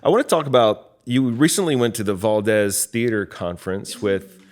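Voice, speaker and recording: A man's voice: fairly low pitch, animated, normal speed. A man hosting a casual interview, speaking in an enthusiastic, conversational way. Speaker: podcast host and voice actor.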